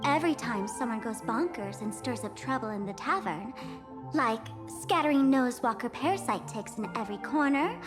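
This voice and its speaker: A woman voicing a playful, wry young guide in a video game, explaining things theatrically with music behind her. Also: high-pitched. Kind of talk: video game character dialogue.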